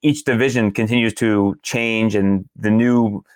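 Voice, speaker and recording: A man from Canada with a Canadian accent, a guest on a podcast, speaking in a measured, analytical way. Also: on the low side, fairly even intonation, darkish tone, clear, resonant tone, no room sound. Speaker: podcast guest, sports journalist. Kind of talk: casual podcast chat.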